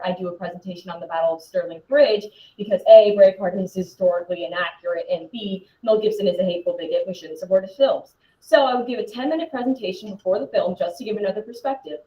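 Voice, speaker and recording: A young man playing an uptight, argumentative, studious teenage boy in a live scene, precise, defensive and excitable. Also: sits high, dull audio, natural voice. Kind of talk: live duo scene performance. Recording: echoey room.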